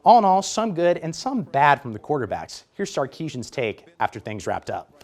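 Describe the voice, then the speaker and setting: An American man working as a sports reporter, reading scripted highlight narration with energetic play-by-play delivery. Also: normal speed.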